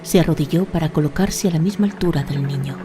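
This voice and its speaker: A woman narrator reads a dramatic fantasy story. Her voice sits fairly low, and music plays clearly underneath. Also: quick pace. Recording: voice over a prominent music bed.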